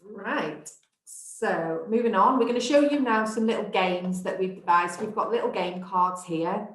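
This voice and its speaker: A woman's voice: medium pitch, unhurried. A woman presenting a training webinar, talking in a reassuring, encouraging way. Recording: echoey room.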